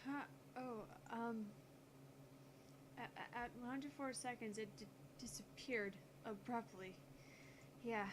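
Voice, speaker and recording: A woman's voice: fairly high pitch, fairly even intonation, slow, long pauses. A woman voicing a skeptical, analytical young female scientist with a snippy, matter-of-fact manner in a visual novel. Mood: flustered. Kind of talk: visual novel character dialogue read aloud. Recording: clear background noise.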